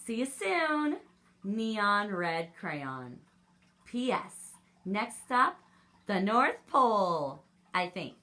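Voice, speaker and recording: A woman's voice: mid-range voice, big pitch swings, drawn-out words, bright, forceful tone, heavily acted. A woman voicing a cheerful, clueless globe-trotting crayon in a children's storybook reading. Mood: cheerful, unsure.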